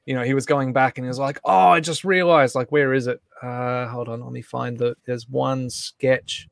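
Male live stream host, casual and conversational, mid-pitched, close dry recording. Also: fairly even intonation, normal speed, creaky, no room sound. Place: indoors at home on a group video call.

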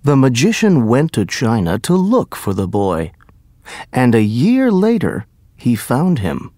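A man narrating a scripted story for language learners in a careful, deliberate way. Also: mid-range voice, huge pitch swings, warm tone, no room sound, studio quality.

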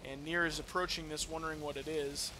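A man's voice: fairly high pitch, brisk, a little hiss or hum, low-quality recording. A man giving casual, profane gameplay commentary as a let's play host. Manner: profane, animated trash-talk.

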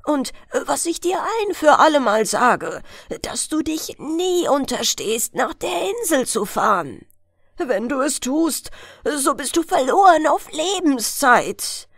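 Stern old fairy-tale fisherman played by a female reader, vehement, commanding, very animated delivery. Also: sits high, slow pace, dry recording, clean studio sound, pitched above the performer's own voice, full character voice. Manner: emphatic, commanding, admonishing. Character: stern, vehement old fisherman father warning his daughter.

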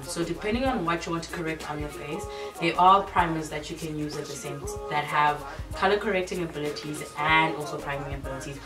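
A young woman from South Africa talks through beauty basics in a hoarse, somewhat low voice. Her delivery is chatty and self-mocking. The room is echoey, she sits back from the mic, and music plays underneath. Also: recorded from a distance. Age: young adult. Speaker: beauty vlogger. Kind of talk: casual makeup tutorial. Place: bright room indoors.

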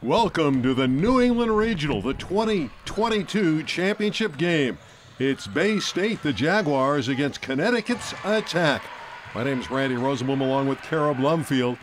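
Male sports announcer, slightly high voice, lively broadcast delivery, faint noise behind. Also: moderate pace. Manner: energetic, builds to shouts. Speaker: play-by-play announcer.

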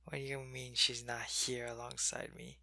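A man playing a sick, gravelly alien soldier. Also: medium pitch, flat delivery, normal speed, no echo. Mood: weary, ill. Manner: soft, weary, often whispering. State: sick and weak, in pain. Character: sick male alien changeling soldier, loyal to his queen. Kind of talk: ASMR roleplay.

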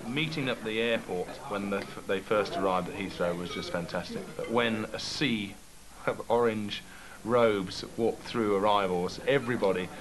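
A man with a fairly low voice speaks emphatically and warmly in an interview, stretching out his words. Music plays clearly beneath him. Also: normal speed, low-quality recording.

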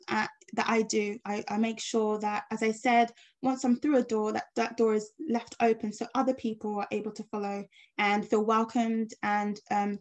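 A woman speaking as a guest on an online panel over a video call, pausing often with ums. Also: a little high. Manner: hesitant, many ums.

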